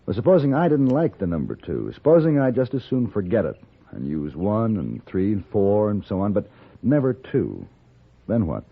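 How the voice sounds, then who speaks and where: Calm worldly cowboy gunslinger, low husky voice, measured, old radio western. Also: male voice, exaggerated voice. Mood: calm probing. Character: calm, worldly male gunfighter narrating a western. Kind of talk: old-time radio western acting and narration. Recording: muffled vintage radio recording with music.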